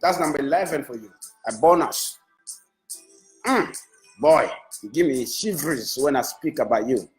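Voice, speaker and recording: A man's voice: a little high, expressive, a little slow, long pauses, poor audio. A man whose first language is Swahili, a music manager talking on a Facebook live, enthusiastic and repetitive, restarting his phrases as he praises an artist. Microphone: phone.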